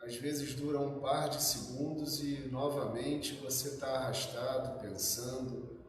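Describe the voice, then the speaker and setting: Slow-paced Brazilian man guiding meditation, very echoey. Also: mid-range voice, fairly even intonation. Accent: Brazilian Portuguese. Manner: measured, instructing in short phrases. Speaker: meditation instructor. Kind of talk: guided meditation, calm spoken instruction. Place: reverberant indoor room. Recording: very echoey room, distant mic.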